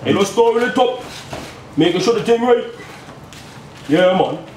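A man's voice: high voice, animated, unhurried, darkish tone, a little hiss or hum. A man, chatting playfully as he demonstrates a home cooking recipe. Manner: playful and chatty, tags lines with "you check?". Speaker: home cook host. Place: small home kitchen indoors. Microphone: camera mic at a distance. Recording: roomy kitchen from far off.

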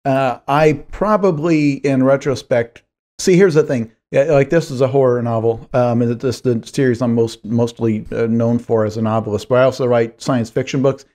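A hoarse man in his forties, a guest author, chatting in a rambling, self-deprecating way on a video call from a small home office. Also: medium pitch, fairly even intonation, moderate pace, darkish tone. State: hoarse, strained voice. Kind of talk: video call podcast chat. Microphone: headphones, desk mic on video call.

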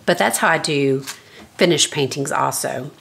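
Scratchy low-voiced woman touring her sketchbook. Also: moderate pace, creaky. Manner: relaxed, thinking aloud while flipping pages. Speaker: artist giving a sketchbook tour. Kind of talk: casual sketchbook walkthrough. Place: home art studio, indoors. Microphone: overhead camera, speaker off-frame.

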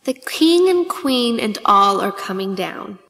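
A woman's voice: mid-range voice, sing-song, slow, fairly bright tone, heavily acted. A woman playing a polite, dutiful court messenger in a Shakespearean dramatic reading, with reverberant sound. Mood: polite, formal. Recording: long reverberant echo.

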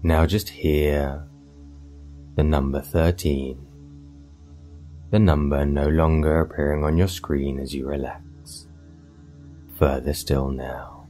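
Very deep, slow male meditation guide. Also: steady tone. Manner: soothing, hypnotic, measured delivery with long pauses. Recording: voice over meditation music. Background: soft meditation music.

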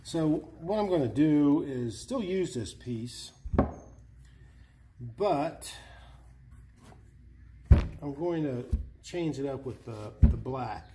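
A man in his fifties casually narrating hands-on garage work, with halting, hesitant phrasing. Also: on the high side, animated, noisy background. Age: 50s. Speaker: DIY hobbyist host. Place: indoor garage workshop.

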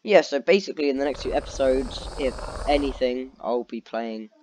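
Tired male gamer, noisy recording. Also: normal speed. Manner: low-energy, dry sarcasm. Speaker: gaming video host. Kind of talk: casual gameplay commentary. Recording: steady background noise with clicks.

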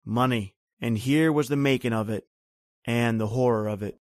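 An actor playing a bitter, grim island native who tells an old horror tale in brooding, rhythmic, folksy phrases, in old-time radio drama style. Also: male voice, mid-range voice, normal speed, darkish tone, no echo, clean studio sound, lightly acted. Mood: grim, intense.

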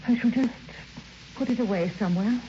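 An actress playing a brisk, kindly housewife in an old radio drama. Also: female voice, a little high, dark tone, lightly acted. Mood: brisk, resigned. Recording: muffled, echoey, noisy old radio recording.

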